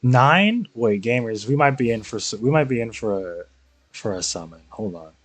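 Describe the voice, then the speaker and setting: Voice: medium pitch, animated, moderate pace, no echo. A streamer chatting casually while playing a game, rambling with sweary, jokey asides. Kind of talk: casual livestream chat and game reactions.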